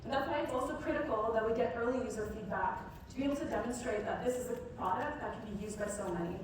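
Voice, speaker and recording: Female founder for a rehearsed demo pitch. Slightly high pitch, distant mic in a very echoey hall. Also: fast. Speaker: startup co-founder presenting. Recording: echoey hall from far off, noisy.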